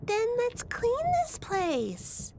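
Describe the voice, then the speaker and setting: A woman's voice: very high-pitched, wildly swooping pitch, drawn-out words, slight background noise, full character voice. A woman voicing a curious, strong-willed little girl in a children's cartoon, speaking in a childlike, emphatic way. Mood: determined. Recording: loud children's music behind. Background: loud children's music.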